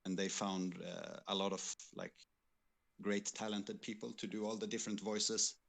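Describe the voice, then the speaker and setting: A man's voice: on the low side, flat delivery, normal speed, creaky, fairly bright tone. A man co-hosting a livestream, talking informally and hesitantly as he recounts past work, repeating words while he searches. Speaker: livestream co-host and game developer.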